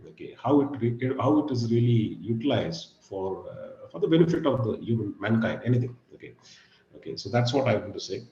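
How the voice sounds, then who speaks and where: A middle-aged man with a husky, low voice giving an online guest lecture, pausing often with fillers and "okay". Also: expressive, warm tone, roomy sound, lo-fi sound, a little hiss or hum. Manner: hesitant, many fillers and "okay". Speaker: guest speaker. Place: video call.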